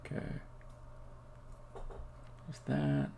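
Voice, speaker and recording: A man live-streaming as a game developer, thinking aloud in a halting, muttering way as he codes. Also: mellow. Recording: faint background noise. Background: computer keyboard typing and clicking.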